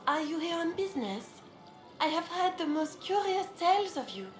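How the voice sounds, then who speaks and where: A woman's voice: very high-pitched, very animated, normal speed, reverberant, faint music behind. A woman playing a coy, gossipy noblewoman in a fantasy video game, with a genteel, coquettish manner. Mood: intrigued. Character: coy, gossipy female noble party guest.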